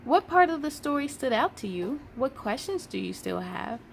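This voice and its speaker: A woman hosting a children's read-aloud, reading expressively and speaking to young viewers in an animated, emphatic way. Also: sits high, normal speed, vocal fry, a little hiss or hum.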